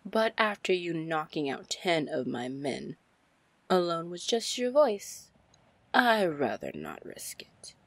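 Slow, seductive pirate captain played by a woman. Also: fairly low pitch, big pitch swings, fairly bright tone, no room sound, exaggerated voice. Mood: teasing, menacing. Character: seductive, commanding female pirate lord captain. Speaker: roleplay audio performer. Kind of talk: ASMR fantasy roleplay.

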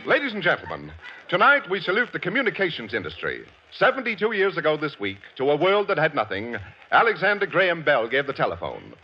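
A man with a formal, booming announcer's delivery introduces the cast and trades lines with the star. His voice is a touch high and swings widely, heard through a muffled vintage broadcast with an orchestra playing. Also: huge pitch swings, quick pace, breathy edge. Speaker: radio announcer. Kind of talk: 1940s radio announcing and straight man. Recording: dull old radio broadcast with music.

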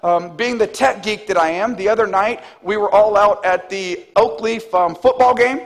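A man in his thirties, a pastor, preaching an animated sermon on a church stage. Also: sits high, normal speed. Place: large church stage, indoors. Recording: long hall reverb.

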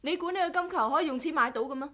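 A woman's voice: high-pitched, very fast, background noise, lightly acted. An actress playing a strong-willed, outspoken woman in a Cantonese radio drama, frank and pointed in manner. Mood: exasperated, scolding.

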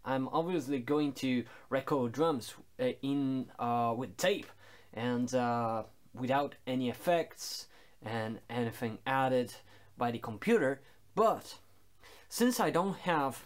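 A man giving an informal explainer as a home-studio musician, speaking in a halting way with many filler pauses. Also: mid-range voice. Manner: halting, hesitant delivery with frequent long filler pauses. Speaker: home-studio musician presenting his recording process.

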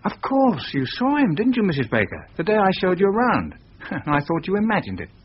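An actor playing a chatty, sympathetic British estate agent in a radio thriller. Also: male voice, high voice, huge pitch swings, brisk, a little hiss or hum. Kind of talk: radio thriller drama acting. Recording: dull radio drama recording.

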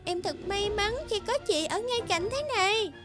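A woman's voice: very high-pitched, moderate pace, over music. A woman voicing a small, kind, polite young morning glory flower in a children's cartoon fairy tale. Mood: grateful. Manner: polite, sweet, exclamatory.

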